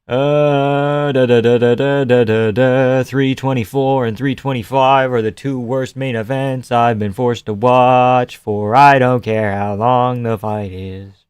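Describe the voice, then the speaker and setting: A man's voice: medium pitch, fairly even intonation, measured pace, darkish tone, clear, resonant tone, no room sound, clean studio sound. A young man hosting a live stream, chatting casually and sarcastically while reading viewer comments. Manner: sarcastic, offhand, swears and laughs often.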